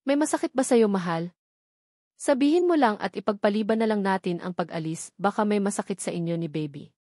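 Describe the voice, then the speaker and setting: A woman's voice: mid-range voice, animated, fairly quick, dark tone, no room sound, studio quality, lightly acted. Woman reading as a tender, attentive fiancé. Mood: concerned. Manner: tender, concerned. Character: attentive, affectionate young man, the narrator's fiancé. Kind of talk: storybook dialogue reading.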